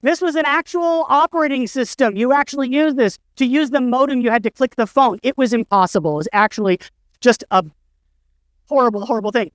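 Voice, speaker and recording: Middle-aged guy doing a conference talk, joking at his own expense, smooth clear voice, dry sound. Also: very high voice, fairly quick, fairly bright tone, no echo. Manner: wry, self-deprecating humor. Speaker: conference speaker. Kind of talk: storytelling conference keynote. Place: conference stage, indoors.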